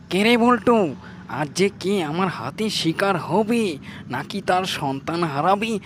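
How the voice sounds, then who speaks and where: A woman's voice: mid-range voice, expressive, slow pace, slight background noise. A woman as a drawling, gloating predator fox. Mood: gloating hunger. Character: cackling, gloating wicked fox hunting young animals to eat. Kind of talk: cartoon voice acting.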